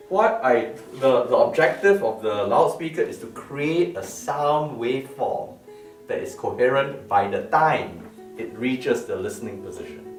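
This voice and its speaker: A man giving an informal product talk as a designer, explaining emphatically with repeated words and rhetorical questions, recorded in a room. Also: lowish voice. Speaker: loudspeaker designer presenting his product line. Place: medium-sized living-room-like room indoors. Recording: roomy, distant mic with music behind. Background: plucked lute music.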